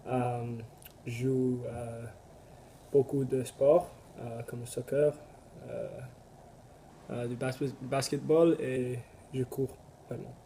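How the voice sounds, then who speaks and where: Halting teenage boy from Canada, dark flat voice. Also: mid-range voice, a little hiss or hum. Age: teens. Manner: halting, filler-heavy. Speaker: student. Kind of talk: casual self-introduction.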